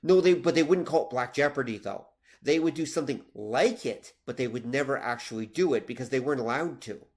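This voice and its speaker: Canadian man explaining emphatically, medium-pitched voice. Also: animated, brisk, darkish tone. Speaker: podcast co-host; teacher. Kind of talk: casual discussion, explaining with examples.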